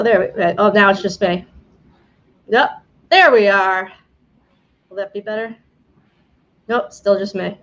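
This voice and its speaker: A woman's voice: medium pitch, a bit bright. Chatty New York woman, lo-fi stream. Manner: chatty, rambling with restarts. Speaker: game show host, immigration attorney. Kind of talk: casual livestream talk. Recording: dull lo-fi livestream audio.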